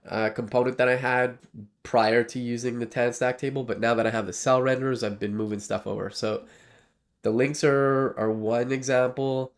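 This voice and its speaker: A man with a nasal voice from a cold, talking casually as he streams his coding work, rambling with lots of fillers and restarts. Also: mid-range voice. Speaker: livestreaming developer. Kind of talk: casual live coding talk.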